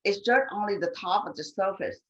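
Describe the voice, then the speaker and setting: A woman who speaks English as a second language, talking earnestly and haltingly in a panel discussion. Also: medium pitch, a bit bright, highs cut off. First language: not English. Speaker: immigrant and refugee advocate on a panel.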